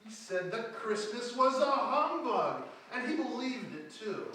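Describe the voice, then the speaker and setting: A man's voice: very high voice, big pitch swings, measured pace, sharp tone, full character voice. A man playing a good-natured, cheerful nephew, chatty and forgiving, in staged reader's theatre. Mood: amused. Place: indoor hall stage with seated audience. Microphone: far camera. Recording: distant, noisy, echoey hall recording.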